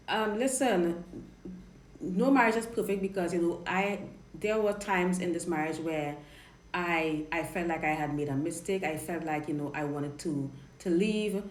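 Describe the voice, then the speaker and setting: A woman's voice: fairly low pitch, measured pace. Chatty Trinidadian woman on a live stream. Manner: chatty, frank, repeats and restarts words. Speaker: dating coach hosting a live Q&A. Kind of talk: casual live-stream chat reading viewer questions. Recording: roomy live-stream audio with faint noise.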